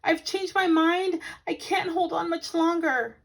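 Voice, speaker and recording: A woman's voice: extremely high pitch, steady tone, unhurried, a bit bright, pitched above the performer's own voice, full character voice. A woman reader acts out a young boy bear from a storybook. He is polite and earnest, speaking up with plain, exclaiming sincerity. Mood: alarmed.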